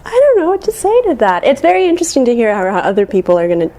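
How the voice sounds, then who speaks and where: A woman's voice: on the high side, wildly swooping pitch, very fast, mellow. A woman actor from Finland, talking in a thoughtful, chatty way in a radio interview.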